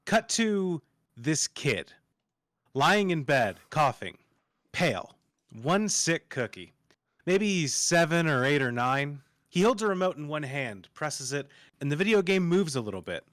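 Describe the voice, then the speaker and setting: A man's voice: fairly high pitch, big pitch swings, measured pace, a bit bright, dry recording, studio quality. A man narrating, reading screenplay stage directions aloud as part of a table read.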